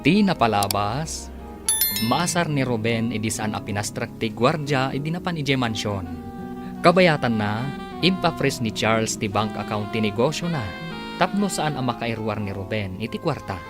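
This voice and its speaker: Male narrator, radio drama, declamatory, highly animated, music behind. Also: moderate pace. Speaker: announcer and narrator.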